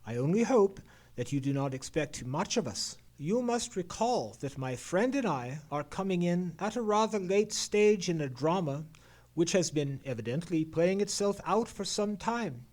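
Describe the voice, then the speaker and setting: A man plays a shrewd Victorian English detective in an old-time radio mystery. His voice sits high for a man and moves in lively swoops, with courteous, formal phrasing. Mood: sober, cautioning. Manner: formal, measured, theatrical period diction. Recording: live theatre stage radio play.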